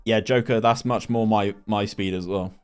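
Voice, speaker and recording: A man's voice: fairly low pitch, steady tone, moderate pace, smooth, faint music behind. A young man, chatting casually on a stream and reading chat aloud with lots of restarts. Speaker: gaming streamer.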